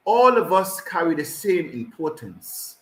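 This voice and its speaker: A man hosts an online community call, reading viewers' greetings aloud one by one. He halts over unfamiliar names and laughs often. His voice sits a little high and carries a roomy echo. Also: very animated, fairly bright tone. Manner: reads names haltingly with frequent laughs.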